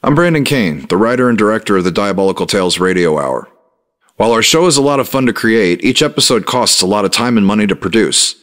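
A man's voice: lowish voice, lively intonation, fast, fairly bright tone. A man, the writer and director of a show, reading a scripted appeal to listeners.

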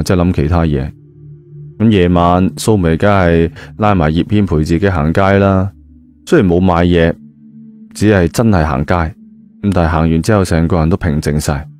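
A man with a Cantonese accent narrating an audio drama in a lively, colloquial storytelling style. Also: deep voice, moderate pace. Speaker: audio drama narrator, also voicing the male lead. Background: background music.

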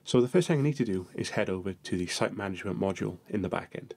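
Male tutorial narrator, lowish gravelly voice, a bit husky, steady step-by-step delivery. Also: quick pace.

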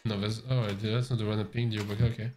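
A man playing a game with a friend on stream, teasing him with quick jabs in casual chat. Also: on the low side, level tone, darkish tone, a little hiss or hum. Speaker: stream co-player.

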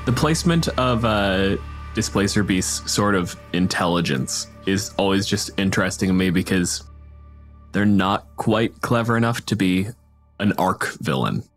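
A man with a low-pitched voice talks casually as a podcast panelist. He explains game ideas at length in vivid, descriptive runs, with clear music playing behind him. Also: measured pace. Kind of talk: casual panel discussion about tabletop games.

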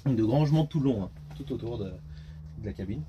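Man, thirties, mellow tone, casual vehicle presentation, small van interior, faint background noise. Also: medium pitch, lively intonation, a little fast, muffled recording. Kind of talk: casual product walkthrough. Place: inside a small camper van.

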